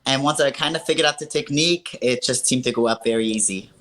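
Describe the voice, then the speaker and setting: Bright-voiced woman from Austria. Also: rumbling low, fast. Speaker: strongwoman athlete, guest. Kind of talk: casual interview on a video call.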